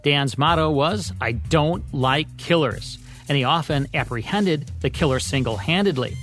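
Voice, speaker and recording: A man with a slightly high-pitched voice co-hosts a radio program. He reads from a prepared script in a presenter's narrating style, with music playing underneath. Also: a little slow, a bit bright. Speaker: radio show co-host.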